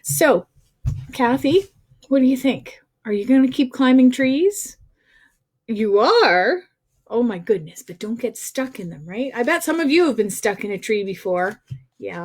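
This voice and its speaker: A woman's voice: on the high side, darkish tone. A woman hosting a casual livestreamed story time for young children, chatting in a sing-song way and putting questions to them. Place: informal livestream at a computer.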